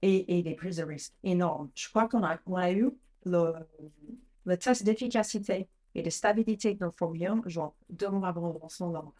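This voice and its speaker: A woman's voice: lowish voice, fairly quick, warm tone. A woman in her forties with an American accent, a brand founder talking in a casual interview, chatty with frequent restarts. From United States.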